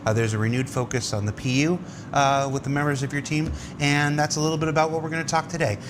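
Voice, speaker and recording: A man's voice: a little high, fairly even intonation, fast talker, noisy background. A man hosting a conversational talk show, explaining things and putting questions to guests. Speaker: show host.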